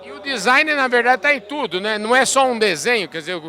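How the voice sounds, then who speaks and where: A man's voice: very high-pitched, expressive, fast, bright, forceful tone. A man hosting an interview show, covering a convention with enthusiastic, rambling questions and frequent repetitions. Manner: enthusiastic, rambling, repeats himself. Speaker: podcast host. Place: outdoors at a convention.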